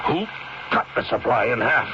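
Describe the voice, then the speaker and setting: An actor playing a gruff, suspicious family doctor with hesitant uhs and a stern edge, in a seventies radio drama. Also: male voice, medium pitch, animated, normal speed, natural voice. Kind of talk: 1970s radio drama acting. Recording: noisy, muffled vintage radio recording.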